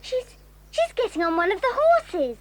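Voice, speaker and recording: A woman's voice: very high voice, very animated, normal speed, a little hiss or hum. A woman playing a timid, kind-hearted schoolgirl, hesitant and earnest, in a children's audio drama. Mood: anxious.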